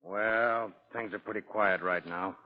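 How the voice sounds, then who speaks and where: Gruff prison guard, a man acting, echoing. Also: fairly low pitch, fairly even intonation, unhurried, natural voice. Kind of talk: 1940s radio drama acting. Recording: muffled, echoing old radio recording.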